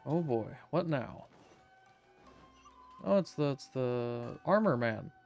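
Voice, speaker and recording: A woman's voice: very deep voice, animated, takes it slowly, darkish tone, faint music behind. A woman giving casual, sarcastic and sweary commentary while streaming a game.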